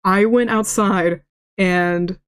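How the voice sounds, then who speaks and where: A woman's voice: medium pitch, steady tone, extremely slow, clean tone. A woman with a lisp chatting casually on a live stream, rambling from story to story, stuttering and restarting words mid-sentence. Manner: chatty, rambling, laughs often.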